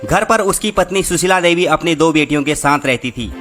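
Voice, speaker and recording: A man's voice: a little high, expressive, a little fast, fairly bright tone. A man narrating a scripted sensational story as host, dramatically addressing his listeners. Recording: music behind the voice. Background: background music.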